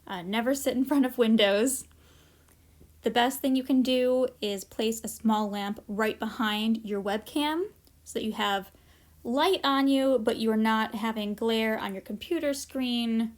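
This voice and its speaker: A woman's voice: a little high, measured pace. A woman presenting an informal instructional workshop, explaining practical tips in a conversational way with casual asides.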